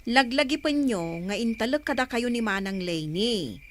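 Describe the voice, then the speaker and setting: A woman acts as a worried daughter in an audio drama. Her voice is fairly high, exasperated and reproachful as she speaks to her elder. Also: expressive, normal speed, slight background noise, natural voice. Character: concerned, reproachful adult daughter confronting her mother.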